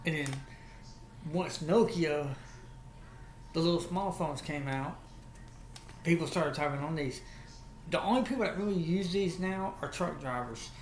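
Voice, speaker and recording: A man's voice: a little high, measured pace, pauses often. A man with a Southern US accent casually showing and explaining an item as a video host, in a folksy, repetitive way. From United States, Alabama. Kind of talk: casual vlog product show-and-tell. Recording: echoey room with faint background noise.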